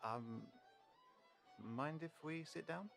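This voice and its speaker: A man playing a polite, awkward young human in an audio roleplay, halting and apologetic. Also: on the high side, creaky, fairly bright tone, heavily acted. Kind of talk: audio roleplay drama acting. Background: music.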